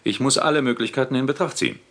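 An actor playing a sceptical, matter-of-fact police inspector who hunts monsters in a German audio drama. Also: male voice, mid-range voice, rapid-fire, fairly bright tone. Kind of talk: German audio drama acting and first-person narration.

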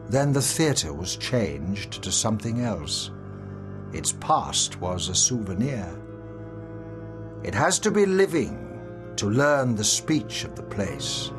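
Male poetry reader, expressive and varied, deliberate pace, music playing behind the studio recital. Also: medium pitch. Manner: measured, expressive.

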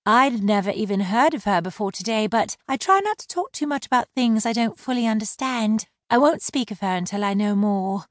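A woman's voice: mid-range voice, animated, brisk, few pauses, dry recording, studio quality, voice pushed up, natural voice. A woman voicing a proud, prickly adult mermaid who insists on being treated with respect. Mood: defiant. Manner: indignant, crisp.

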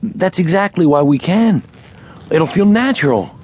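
Casting: confident young cowboy rancher, Western audio drama, animated delivery, clear background noise. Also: male voice, sits high, measured pace. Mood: insistent. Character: confident, possessive young male rancher in the Old West.

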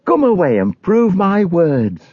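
A man's voice: sits high, wildly swooping pitch, unhurried, breathy edge, no echo, phone-quality sound, voice pushed up, heavily acted. A man voicing an earnest pilgrim in a storybook, stammering, emotional and imploring. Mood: fervent. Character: anguished, pleading pilgrim man, earnest and fervent.

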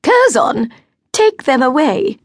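A woman's voice: sits high, very animated, slow, dry recording, clean studio sound, pitched above the performer's own voice, heavily acted. A woman voicing a haughty young countess, cold and imperious. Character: haughty high-voiced aristocratic young countess.

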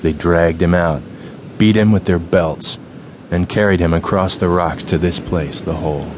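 Man playing low, ominous fraternity ringleader. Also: darkish tone, a little hiss or hum, close to an everyday voice. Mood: ominous, hushed. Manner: slow theatrical storytelling, commanding. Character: solemn, ominous fraternity leader telling ghost tales and giving orders. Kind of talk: dramatic radio play acting.

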